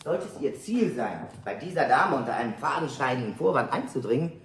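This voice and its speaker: A man's voice: mid-range voice, expressive, brisk, a bit bright, a little hiss or hum. An actor playing a suspicious, nosy male neighbor in a radio play, questioning a stranger at the door. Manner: prying, officious, interrogating. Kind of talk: 1970s radio play acting.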